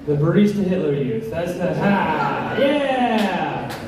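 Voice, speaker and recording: A man's voice: high voice, expressive, slow, crowd noise. Crude rambling man in his thirties. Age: 30s. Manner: crude self-deprecating rambling jokes. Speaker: stand-up comic. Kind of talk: stand-up set. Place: comedy club stage. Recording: echoey club from far off, noisy.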